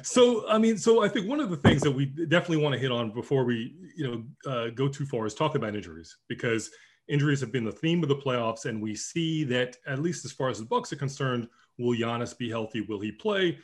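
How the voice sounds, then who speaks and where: A man's voice: mid-range voice, lively intonation. A man co-hosting a sports podcast, talking casually and often restarting his sentences. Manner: halting, many restarts. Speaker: podcast co-host.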